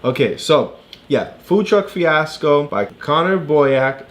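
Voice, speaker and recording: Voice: mid-range voice, expressive, slow pace. A parent casually explaining a book to a child, encouraging and repeating points.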